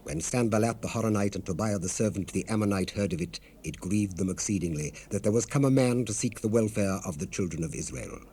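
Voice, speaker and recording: An actor playing a devout, humble Old Testament cupbearer in a dramatized scripture recording, speaking with solemn, measured, formal fervor. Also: male voice, fairly low pitch, dark tone, close to an everyday voice. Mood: grave recounting. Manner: solemn, fervent, measured, formal archaic delivery. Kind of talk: dramatized scripture reading, 1970s audio drama. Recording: noisy unprocessed cassette rip.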